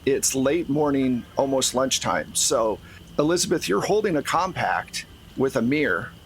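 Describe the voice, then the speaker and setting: A man's voice: mid-range voice, lively intonation, measured pace, slight background noise. A man speaking as game master, narrating a tabletop game in a casual way.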